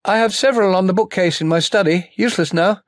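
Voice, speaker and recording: Man acting an urgent, high-pitched vicar. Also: fast talker, no echo, studio quality, close to an everyday voice. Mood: urgent, alarmed. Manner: urgent, raised voice. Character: James, frantic English vicar sheltering people during an air raid. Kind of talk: audiobook character dialogue.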